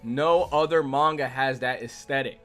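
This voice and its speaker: A man's voice: medium pitch, normal speed, fairly bright tone. A man narrating a scripted manga review in the style of a video essay. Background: music.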